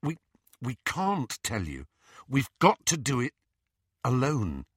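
A man voicing a harried, reluctant teenage boy hero in an audiobook, halting and urgent. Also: medium pitch, wildly swooping pitch, husky, no room sound, studio quality, full character voice. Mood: guarded.

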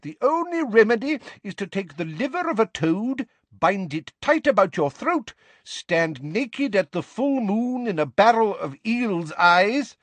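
A man's voice: high voice, very animated, a little slow, no echo, voice pushed up, exaggerated voice. A man playing a pompous old portrait healer. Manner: archaic, pompous pronouncements.

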